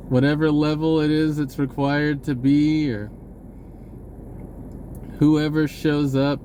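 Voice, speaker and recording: Halting man talking over background noise. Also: a little high, mellow. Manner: hesitant, halting, informal, trailing off and rephrasing. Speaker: online video creator. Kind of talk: rambling spontaneous spoken message to camera.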